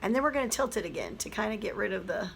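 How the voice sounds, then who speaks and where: A hoarse woman, a teacher, chattily explaining a craft project step by step. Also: mid-range voice, lively intonation, racing, a bit bright, a little hiss or hum. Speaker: craft tutorial host. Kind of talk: craft tutorial voice-over.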